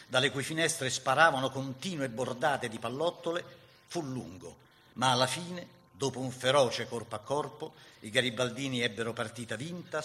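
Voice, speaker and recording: A man reading scripted historical narration for a radio play in a formal, measured way. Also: medium pitch, pushed and bright.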